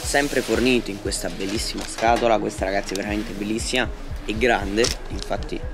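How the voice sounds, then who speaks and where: A man's voice: fairly low pitch, steady tone, brisk, music in the background. A teenage male vlogger enthusiastically presenting products to viewers in an unboxing, speaking outdoors at a table. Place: outdoors at a patio table in a garden.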